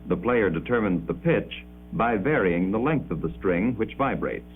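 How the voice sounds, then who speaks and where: A man delivering formal, measured 1950s educational film narration with precise enunciation, on an old muffled soundtrack. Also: fairly low pitch, sing-song, soft background music.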